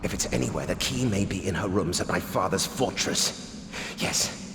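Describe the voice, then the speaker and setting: An actor playing a desperate, weakened captive prince in a video game, with a strained, breathy, gravelly voice and a halting, theatrical delivery. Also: male voice, deep voice. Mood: bitter, pained. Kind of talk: dramatic video game cutscene acting. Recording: noisy, echoing cavernous reverb.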